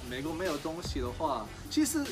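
A man's voice: fairly high pitch, wildly swooping pitch, a little fast, over music. A young man from the United States with an American accent, chatting casually as a guest in an easygoing, slightly hesitant way. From Cincinnati, United States. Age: young adult. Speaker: interview guest. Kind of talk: casual chat, switching between English and Mandarin. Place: outdoor garden seating by apartment buildings.

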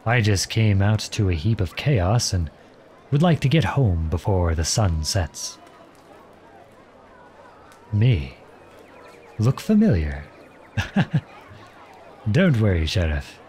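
Man playing a low-voiced, earnest outlaw prince. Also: big pitch swings, takes it slowly, pauses often, a little mellow, slight background noise. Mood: polite, evasive. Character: earnest, protective young outlawed prince leading a band. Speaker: audio roleplay performer. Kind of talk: ASMR roleplay acting.

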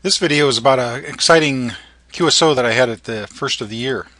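Bright-voiced man narrating haltingly. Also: mid-range voice, dry recording. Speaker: amateur radio operator and narrator. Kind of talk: informal tutorial narration.

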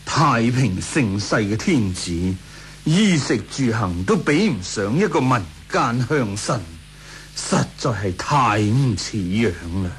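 Flattering court minister, slow and declamatory. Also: male voice, mid-range voice, a little hiss or hum. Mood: coaxing. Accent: Hong Kong Cantonese. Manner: deferential, persuasive, declamatory. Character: flattering, scheming imperial grand councillor. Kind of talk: 1980s Cantonese radio drama acting.

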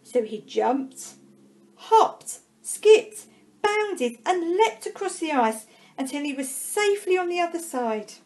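A woman reading a children's storybook aloud expressively, with dramatic pauses and drawn-out words. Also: very high voice, very animated, darkish tone. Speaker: primary school staff member reading a storybook.

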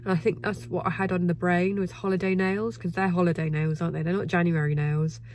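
A woman in her thirties with a cold, sounding croaky and bunged up, chatting casually to her phone camera in a parked car and then in a farm shop. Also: on the low side, flat delivery, brisk, darkish tone, a little hiss or hum. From England. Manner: chatty, bubbly, rambling asides. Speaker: vlogger. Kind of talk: casual vlog chat. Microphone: phone held at arm's length.

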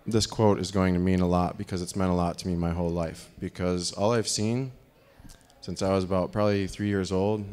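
Deep-voiced man rambling on stage. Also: a little mellow. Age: 30s. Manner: rambling, with many fillers. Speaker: speaker. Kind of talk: live talk on stage. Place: dark stage in a hall. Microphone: handheld microphone.

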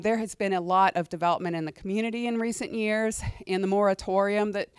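Hoarse woman speaking over a mic. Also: lowish voice, no echo, clean studio sound. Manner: conversational, with fillers and restarts. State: hoarse, losing her voice from a cold. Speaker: university administrator presenting. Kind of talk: presentation to student senate. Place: lecture hall, indoors. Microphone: handheld microphone.

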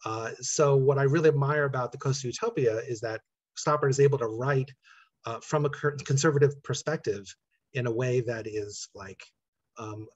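A middle-aged American man with a slightly husky voice, answering interview questions in a thoughtful, halting, conversational way. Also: mid-range voice, dull audio. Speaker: playwright being interviewed. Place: living room at home, indoors. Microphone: computer mic on a video call.

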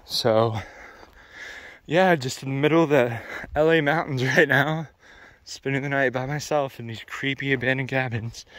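A man's voice: medium pitch, normal speed, fairly bright tone, dry recording. A young man vlogging alone from a cold abandoned cabin at night, nervous and self-deprecating, filming on a handheld camera. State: cold and sleepy. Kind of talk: casual vlog to camera.

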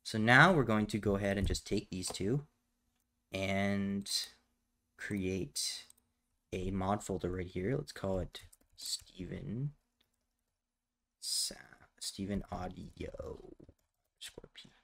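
A man with a fairly low voice narrates a software tutorial step by step. He explains each action casually and plainly, as someone talking while working at a computer. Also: takes it slowly, vocal fry, pauses often. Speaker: tutorial host. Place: indoors at a computer, voice over screen capture.

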